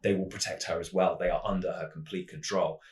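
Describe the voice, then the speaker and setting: Male tabletop game presenter, low-pitched, measured explanatory storytelling, roomy echoing sound. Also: steady tone. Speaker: tabletop roleplaying game channel host. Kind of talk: semi-scripted explanatory narration.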